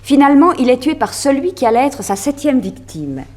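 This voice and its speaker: A woman's voice: high voice, very animated, a little fast, a little mellow. An actress playing a detective's curious female partner in a vintage French radio drama, questioning insistently. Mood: puzzled, insistent.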